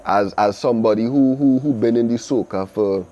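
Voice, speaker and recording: A man's voice: medium pitch, moderate pace, warm tone, highs cut off. A man with a Trinidadian accent hosting a casual interview, often chiming in and repeating phrases for emphasis. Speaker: interview host. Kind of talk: casual chat.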